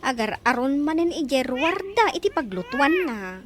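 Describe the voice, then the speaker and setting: Teasing village wife, Ilocano accent, radio drama, chatty, high voice, music underneath. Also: female voice, very animated, normal speed. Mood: concerned, chatty. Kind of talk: Filipino radio drama acting.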